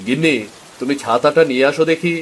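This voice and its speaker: A man with a Bengali accent playing a weary, caring, poor village father in a cartoon, plaintive and gentle. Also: on the high side, fast talker, slight background noise, close to an everyday voice. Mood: resigned, resolute. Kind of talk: cartoon story dialogue.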